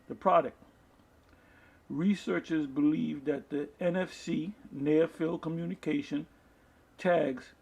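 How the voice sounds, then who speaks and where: A man preaching informally online, reading aloud and commenting in a halting way, often restarting his phrases. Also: on the high side, gravelly, darkish tone, husky. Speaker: preacher reading articles and scripture to an online audience.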